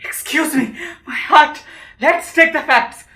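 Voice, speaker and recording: Man acting a high, echoing, excitable nervous suitor. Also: slow, full character voice. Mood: indignant. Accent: Indian. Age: young adult. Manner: stammering, breathless, melodramatic repetition. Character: hypochondriac, argumentative male suitor, nervous and excitable. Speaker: student actor. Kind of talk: amateur audio drama acting. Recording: roomy, echoing amateur recording.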